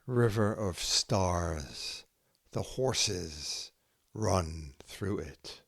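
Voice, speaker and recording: A man reading short poems aloud in a measured way, setting each brief phrase apart with pauses. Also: deep voice, animated, gravelly, no echo. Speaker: podcast host reading poetry aloud. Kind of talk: poetry reading, haiku recited line by line.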